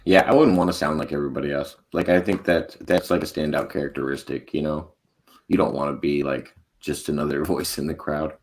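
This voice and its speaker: A man's voice: a bass voice, steady tone, brisk. An American man co-hosting a podcast, chatting casually and rambling, often laughing. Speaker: podcast co-host. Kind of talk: casual chat.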